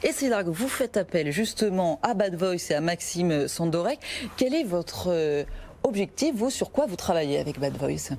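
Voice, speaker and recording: Brisk woman hosting a business TV interview. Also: fairly low pitch, animated, a little mellow, a little hiss or hum. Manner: brisk, teasing questions. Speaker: TV business show host. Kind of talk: broadcast interview.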